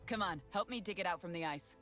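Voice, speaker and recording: A woman's voice: lowish voice, fast, faint music behind, close to an everyday voice. Woman reading as an awestruck older male scientist. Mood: awed. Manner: urgent, excited calling. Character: older male astronomer, dreamy and driven.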